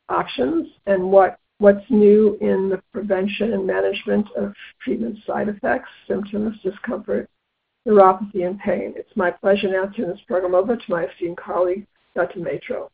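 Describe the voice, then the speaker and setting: A gracious moderator gives formal introductions between speakers at a medical program. The voice is husky and gravelly. The audio is thin and phone-like. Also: mid-range voice, fairly even intonation, normal speed. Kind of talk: introducing speakers at a patient webinar. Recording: tinny phone-line audio.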